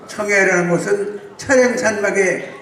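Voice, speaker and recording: A man's voice: high voice, moderate pace, a bit bright. Very old man giving a formal speech. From South Chungcheong, Korea. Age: 90s. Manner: solemn, lecturing with rhetorical questions. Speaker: guest speaker. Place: large banquet hall. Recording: echoey hall, distant mic.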